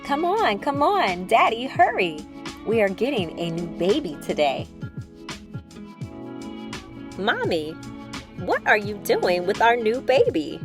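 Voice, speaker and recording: A woman's voice: fairly high pitch, measured pace, pitched above the performer's own voice. Woman reading as an eager little storybook girl over music. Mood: excited, curious. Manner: expressive, simple childlike storytelling. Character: eager little girl, a loving big sister narrating. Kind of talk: children's storybook read-aloud.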